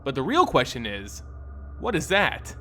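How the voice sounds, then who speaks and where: A man's voice: mid-range voice, wildly swooping pitch, a little slow, pushed and bright, faint music behind, heavily acted. A man voicing a determined, wry werewolf woman in a fantasy story, speaking in a pointed, self-assured way. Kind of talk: dramatic fantasy audiobook character voice.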